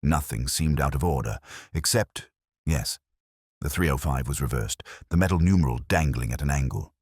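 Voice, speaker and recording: A man reading a scripted mystery story as narrator in a wry, measured, literary manner. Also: very deep voice, animated, slightly rough, dry recording, studio quality.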